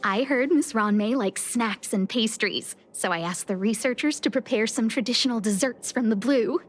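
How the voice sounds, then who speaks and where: A woman's voice: a little high, moderate pace, lightly acted. A woman voicing a polite, cheerful and helpful young space station staffer in a video game. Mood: admiring. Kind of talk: scripted video game voice acting. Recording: game dialogue with music behind.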